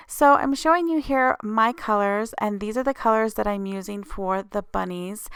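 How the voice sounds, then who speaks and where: A woman's voice: mid-range voice, fairly bright tone, smooth, dry recording, studio quality. A woman with a slightly scratchy voice hosts a casual craft tutorial voice-over, explaining each step informally.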